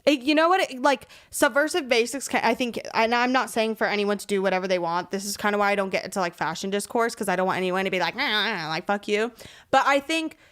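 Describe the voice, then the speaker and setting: A young woman hosts a podcast in loose, slangy conversation. Her voice is clear and smooth, sitting at a middle pitch. She rambles through her thoughts, dropping "like" throughout. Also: fast talker, fairly bright tone. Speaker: podcast host. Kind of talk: casual podcast chat.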